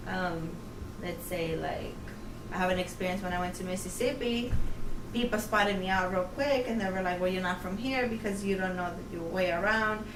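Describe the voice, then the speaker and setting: A woman talking casually and personally about her own experience as an interviewee. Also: medium pitch. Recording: echoey, distant mic, clear background noise.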